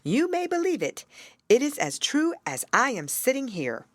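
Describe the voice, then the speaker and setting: Woman voicing a high-pitched, earnest storybook raven. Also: huge pitch swings, normal speed, dry recording, exaggerated voice. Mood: insistent. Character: grave, well-meaning talking raven with a sweetheart. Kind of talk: storybook character voice.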